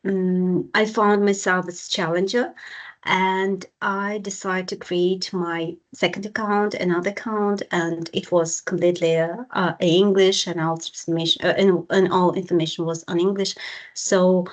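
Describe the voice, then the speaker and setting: A woman with a Ukrainian accent hosts an online workshop on a video call. Her voice sits fairly low, and her English is careful and halting, full of small pauses and restarts. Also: little pitch movement. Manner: hesitant, many fillers and restarts. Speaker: program manager and workshop moderator.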